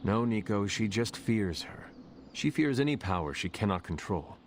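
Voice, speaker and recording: An actor playing a calm, resolute demon hunter in a video game, measured, earnest and slightly formal. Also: male voice, lowish voice, slight background noise, natural voice. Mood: measured, reassuring. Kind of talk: dramatic video game acting.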